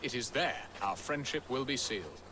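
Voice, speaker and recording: A man's voice: mid-range voice, expressive, normal speed, pushed and bright, a little hiss or hum. A flamboyant, swooping Saxon nobleman. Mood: jovial, scheming. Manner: florid, flamboyant phrasing. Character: jovial, theatrical Saxon nobleman, romantic and carefree schemer. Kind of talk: dramatic video game cutscene acting.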